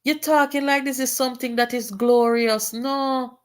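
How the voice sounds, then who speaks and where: A woman's voice: high voice, fairly even intonation, moderate pace. Indignant Jamaican woman commenting on politics. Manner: switches to Patois, exclamatory, rising indignation. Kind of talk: reading aloud and commenting on a political letter.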